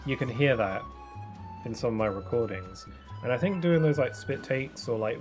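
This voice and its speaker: Rambling young man streaming a game. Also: mid-range voice. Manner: rambling, soft-spoken, many fillers and restarts. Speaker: streamer playing a video game. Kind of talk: casual chat while gaming. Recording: game music playing behind.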